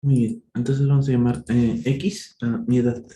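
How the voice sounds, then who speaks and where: A man's voice: mid-range voice, level tone, mellow. A man tutoring math live online, working through problems step by step, thinking aloud and correcting himself. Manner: thinks aloud, self-correcting, slow and patient. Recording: echoey room, faint noise.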